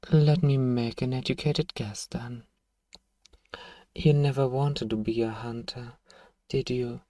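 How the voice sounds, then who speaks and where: A man playing a powerful, manipulative elder vampire in an ASMR roleplay, speaking in a hushed, taunting and condescending way. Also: mid-range voice, no room sound, recorded from a distance, natural voice. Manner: slow, hushed, taunting, condescending, lapses into whispers. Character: powerful elder male vampire, suave, menacing, manipulative seducer.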